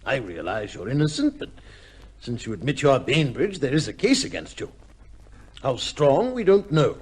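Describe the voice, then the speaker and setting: An actor playing a shrewd, measured gentleman adviser in an old radio drama, speaking formally and firmly. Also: medium pitch, very animated, breathy edge. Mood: calm, cautioning. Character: cool, shrewd gentleman adviser to an accused judge.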